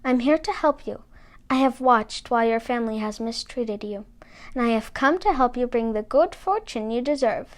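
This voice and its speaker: Woman as a high-voiced, kindly fairy godmother. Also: normal speed, natural voice. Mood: kindly, reassuring. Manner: gentle, reassuring. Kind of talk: storybook character voice.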